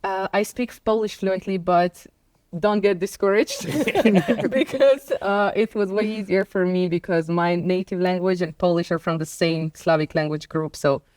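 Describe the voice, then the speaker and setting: Croatian-born woman chatting as a guest, low voice, lots of ums, dead dry sound. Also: a little slow, darkish tone, dry recording. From Croatia and Bosnia and Herzegovina. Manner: hesitant, many fillers, laughs between points. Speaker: podcast guest. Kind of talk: casual conversation.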